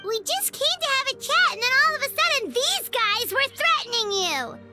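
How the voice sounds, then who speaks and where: A woman voicing a tiny, feisty, protective fairy companion in a video game, with a squeaky childlike voice. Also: sing-song, normal speed. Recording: music behind the voice.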